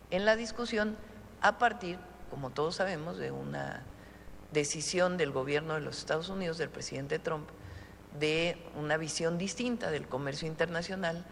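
A Mexican woman holding a press conference, answering questions in a measured, deliberate way with frequent pauses. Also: deep voice, vocal fry, in a large hall, a little hiss or hum.

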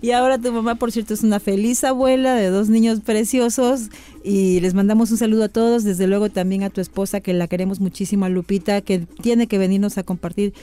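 A woman's voice: mid-range voice, steady tone, fairly quick, mellow. A woman hosting an interview about farming, chatty and effusive, sending greetings and asking guests about themselves. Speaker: host.

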